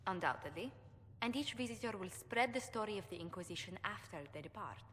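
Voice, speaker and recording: An actress playing a poised, tactful court diplomat in a video game, speaking in a formal and measured way. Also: female voice, mid-range voice, expressive, fairly bright tone, lightly acted. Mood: composed, tactful. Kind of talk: video game dialogue acting. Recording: long hall reverb, faint noise.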